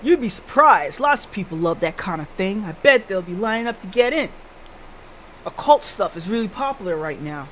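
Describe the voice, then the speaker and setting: A woman voicing an eager, chatty junior detective from a visual novel, excitable and fascinated by the occult. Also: mid-range voice, moderate pace, slight background noise, natural voice. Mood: chipper.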